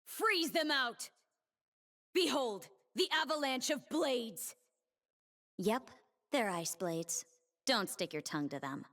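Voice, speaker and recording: An actress playing a stern, proud female warrior in a fantasy video game, with dramatic, measured delivery and dry quips. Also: on the high side, expressive, a bit bright, heavily acted. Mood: cold, dry. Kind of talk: video game character voice lines.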